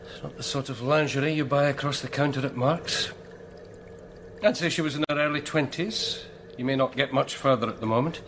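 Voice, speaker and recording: An actor playing a wry senior Scottish forensic pathologist in a radio drama, speaking in a measured, clinical way. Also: male voice, medium pitch, steady tone, natural voice. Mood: wry, thoughtful. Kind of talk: naturalistic radio drama acting. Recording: clearly noisy background.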